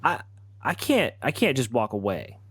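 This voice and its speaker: Male player as nervous, placating dad in improvised RPG dialogue, stammering, hugely varied pitch, dry close sound. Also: big pitch swings, normal speed, fairly bright tone, breathy edge, dry recording. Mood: anxious, protesting. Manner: stammering, flustered. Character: nervous, evasive family man, placating his ex.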